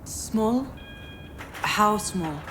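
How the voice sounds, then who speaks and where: An actress playing an overwhelmed, sardonic adult daughter in a video game, speaking in a halting, expressive way. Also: female voice, mid-range voice, noisy background. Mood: shocked, distressed. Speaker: game character, artist's assistant. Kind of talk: naturalistic video game acting.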